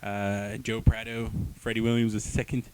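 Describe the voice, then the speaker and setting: A man's voice: lowish voice, flat delivery, normal speed, darkish tone, smooth. A man co-hosting a casual comic book review show, talking in a jokey, slangy way with plenty of swearing. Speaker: podcast co-host and comics reviewer.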